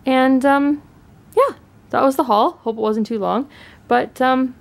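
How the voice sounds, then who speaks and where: A woman's voice: high voice, a little slow, creaky. A young woman from Canada chatting casually at home about pet supplies she bought, enthusiastic and full of fillers. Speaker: pet channel host. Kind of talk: casual haul chat. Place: indoors at home, living room.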